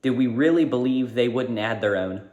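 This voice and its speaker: A man's voice: medium pitch, moderate pace, darkish tone, highs cut off. A man narrating a casual video essay, informal and correcting himself now and then. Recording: roomy echo.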